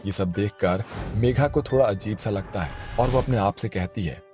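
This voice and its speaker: A man's voice: on the low side, normal speed, darkish tone, soft background music. A man delivering scripted narration for a family cartoon story.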